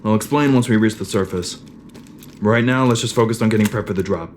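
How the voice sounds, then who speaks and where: Guy playing a determined space trooper, kinda low voice, questions stuff, audio drama, bit of noise. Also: brisk, close to an everyday voice. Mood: focused. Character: determined, questioning male sci-fi shock trooper, squad leader.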